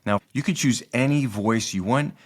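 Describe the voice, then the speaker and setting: A man narrating a step-by-step software tutorial as its host, explaining each part in an instructional way. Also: mid-range voice, moderate pace.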